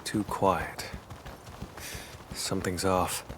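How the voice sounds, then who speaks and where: A brooding vampire investigator from a video game. His voice sits very low, and he speaks in clipped, deliberate phrases with grave restraint. Faint ambient noise runs behind him. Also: flat delivery. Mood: watchful, analytical. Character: brooding male vampire hunter, stoic loner investigator. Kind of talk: scripted game dialogue.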